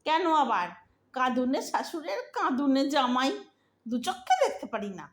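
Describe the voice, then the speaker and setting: A woman playing an exasperated, sharp-tongued young married daughter in an audio drama, bossy and impatient, with a Bengali accent. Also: sits high, very animated, normal speed. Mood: teasing impatience. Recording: roomy, echoing audio drama recording.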